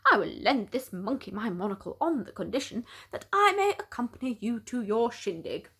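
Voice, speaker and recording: A woman voicing a polite, proud male badger in a storybook, with formal, old-fashioned gentlemanly phrasing. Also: fairly high pitch, sing-song, moderate pace, slightly rough, heavily acted. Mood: courteous. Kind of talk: storybook read-aloud character.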